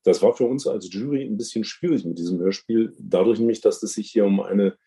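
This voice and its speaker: Low dark-voiced guy explaining stuff on a podcast, careful and halting, long winding sentences. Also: vocal fry. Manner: deliberate, halting, wordy explanations. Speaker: podcast guest and radio drama award juror. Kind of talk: unscripted interview commentary.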